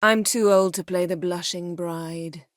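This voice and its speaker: An actress voicing a proud, sharp-tongued sorceress in a fantasy video game, with a crisp, imperious, dryly sardonic delivery. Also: female voice, lowish voice, steady tone, a little slow, no room sound, clean studio sound, natural voice. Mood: soft, intimate.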